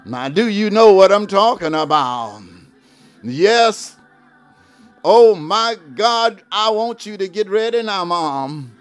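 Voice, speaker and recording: A man preaching a fervent gospel sermon, shouting and half-singing his phrases and repeating "what I'm saying" as a filler. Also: sits high, lively intonation, slow, clean tone, no echo, faint music behind.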